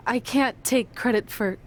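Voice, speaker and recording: A young woman wrestler in a video game, supportive but self-reliant. Her voice is a touch higher than usual and sounds natural and conversational. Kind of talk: video game cutscene acting.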